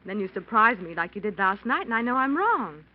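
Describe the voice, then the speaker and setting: Chatty fond wife, lively pitch. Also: female voice, a little fast. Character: affectionate, chatty, well-bred wife. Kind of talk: old-time radio mystery acting. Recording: muffled, hissy old radio recording.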